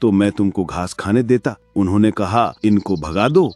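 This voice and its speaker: A man's voice: on the low side, normal speed, darkish tone, dry recording, lightly acted. A man voicing a loyal elephant chief minister who serves the king, in a Hindi cartoon. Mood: dutiful. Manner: dutiful, deferential to the king.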